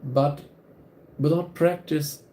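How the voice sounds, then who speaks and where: A man's voice: a little high, fairly even intonation, slow, dark tone, slight background noise. A man with a German accent, German his first language, hosting a live stream and talking earnestly to viewers, often repeating and restarting his phrases. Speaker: channel host.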